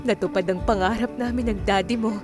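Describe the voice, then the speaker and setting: A woman's voice: medium pitch, steady tone, fast talker, natural voice. A woman playing a frail, doting mother in a radio drama, tender and emotional, with music behind her. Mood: proud, loving. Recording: music under the voice.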